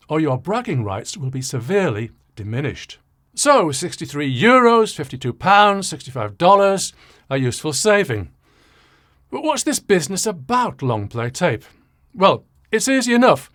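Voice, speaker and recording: A man's voice: a little high, no room sound. An older man with a British accent presenting a scripted explainer as an audio engineer, in a dry, wry, teasing way.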